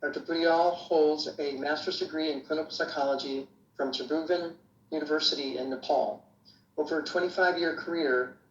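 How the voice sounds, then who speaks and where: A man presenting an award, reading a formal scripted introduction. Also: fairly high pitch. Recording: roomy, dull lo-fi with faint hiss.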